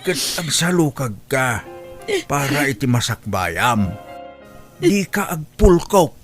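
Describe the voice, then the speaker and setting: A man with a Filipino Ilocano accent playing a concerned, sorrowful older father who gently scolds his daughter in a radio drama. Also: mid-range voice, very animated, takes it slowly. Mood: solemn, advising. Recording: music bed under radio drama dialogue.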